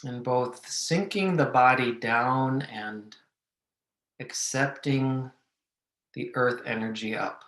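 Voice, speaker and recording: Slow-paced man guiding meditation in echoey room. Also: mid-range voice. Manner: measured, gently instructing with long pauses. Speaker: meditation guide. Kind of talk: guided meditation instruction.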